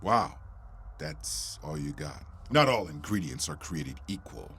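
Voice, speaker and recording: A man's voice: a bass voice, very animated, a little slow, fairly bright tone, a little hiss or hum. A brash, sarcastic man co-hosting a podcast, doing irreverent ad banter. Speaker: podcast co-host reading an ad.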